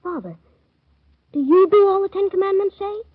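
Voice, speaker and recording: Casting: earnest little boy, very high and eager, dry, dull 1930s radio sound. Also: moderate pace, dry recording. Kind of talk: 1930s radio drama acting. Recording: dull old radio broadcast.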